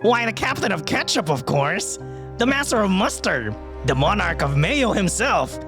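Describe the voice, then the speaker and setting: A man playing a pompous comic-book supervillain, delivering a grandiose, hammy monologue with drawn-out punning flourishes. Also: sits high, big pitch swings, over music. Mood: gloating, theatrical. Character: pompous, theatrical male comic-book supervillain stand-up comedian.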